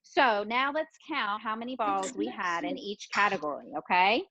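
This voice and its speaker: A woman's voice: medium pitch, moderate pace, noisy background. Muffled-sounding woman teaching young children. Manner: encouraging, questioning, repeats children's answers. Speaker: pre-kindergarten teacher. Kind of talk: interactive lesson for young children.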